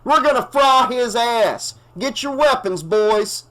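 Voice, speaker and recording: A man voicing an angry small-town bartender who shouts defiantly. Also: sits high, sing-song, measured pace, pitched above the performer's own voice, heavily acted, poor audio.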